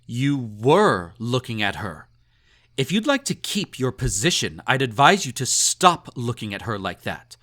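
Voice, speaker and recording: A man's voice: medium pitch, sing-song, measured pace, a bit bright. A man playing a snobby, possessive emperor in an intimate romantic audio roleplay, indulgent and menacing, with a haughty, purring, condescending delivery. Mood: jealous, commanding.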